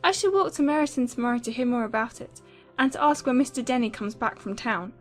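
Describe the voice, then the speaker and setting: A woman's voice: fairly high pitch, fast, faint music behind, pitched above the performer's own voice, lightly acted. A woman voicing a brash, flighty, chatty teenage girl in a dramatized audiobook of a classic novel. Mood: excited, careless. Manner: chatty, impatient.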